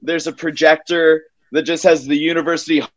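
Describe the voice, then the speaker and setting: A man telling a story as a guest comedian on a casual podcast, halting and repeating himself as he acts out exchanges. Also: a little high, level tone.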